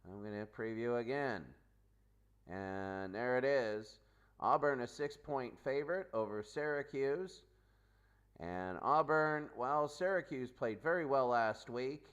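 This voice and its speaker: A man's voice: mid-range voice, unhurried, fairly bright tone, smooth, muffled recording. A man hosting a sports pregame show with unscripted, off-the-cuff commentary that repeats itself. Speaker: sports host and announcer.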